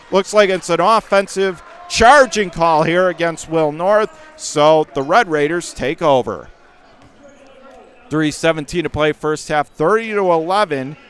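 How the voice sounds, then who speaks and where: A man's voice: sits high, a bit bright. A man giving live basketball play-by-play in clipped present-tense phrases that build with the action. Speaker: play-by-play sports commentator.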